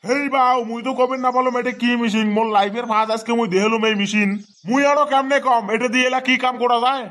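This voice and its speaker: A flustered cartoon mechanic played by a man rattles along at breakneck speed in a very high, pitched-up voice. He protests defensively and repeats himself, with a rural northern Bengali dialect. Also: fairly even intonation, fast talker, a bit bright. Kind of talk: comedy cartoon dub.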